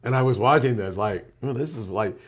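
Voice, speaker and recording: A man's voice: medium pitch, brisk, husky. Chatty middle-aged male show host. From United States. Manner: chatty storyteller, reads viewer questions aloud. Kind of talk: casual live-stream chat.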